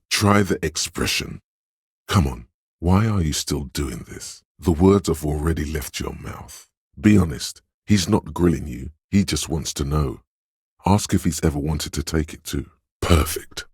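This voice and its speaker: A sly inner voice from a video game, extremely low and thickly husky. He coaxes and insinuates in measured, breathy phrases, like a manipulator advising from inside the head. The sound is close and dry. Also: darkish tone, dry recording, full character voice. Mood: coaxing. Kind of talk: scripted video game voice acting.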